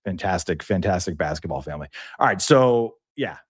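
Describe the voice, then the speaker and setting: A lowish male voice from a morning sports show, the guy at the desk riffing casually on last night's scores for viewers at home. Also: lively intonation, brisk, slightly rough. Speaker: sports talk show host. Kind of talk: casual live sports commentary to an audience.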